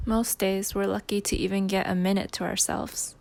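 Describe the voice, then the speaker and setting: A woman leading a guided meditation, giving gentle instructions in short phrases with pauses between them. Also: mid-range voice, flat delivery, creaky, dry recording.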